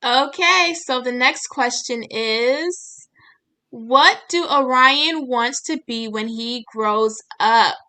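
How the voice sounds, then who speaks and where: A woman's voice: fairly high pitch, slow pace, bright, forceful tone. A woman co-hosting a live event, reading out quiz questions to the people watching.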